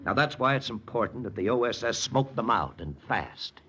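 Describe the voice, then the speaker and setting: An actor playing a wry American army lieutenant hunting spies, in a 1940s radio drama with first-person narration. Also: male voice, medium pitch, expressive, normal speed, pushed and bright, a little hiss or hum. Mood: urgent, persuasive. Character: wry American army lieutenant and spy-hunter, quietly lovesick. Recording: muffled old radio recording, music behind.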